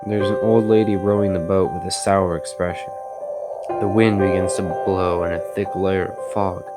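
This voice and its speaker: A man's voice: low-pitched, little pitch movement, moderate pace, loud music behind the voice, close to an everyday voice. A man acting as the haunted narrator of a gothic audio drama, telling it in the first person, grave and suspenseful. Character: haunted, desperate male narrator of a gothic tale. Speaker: narrator and protagonist.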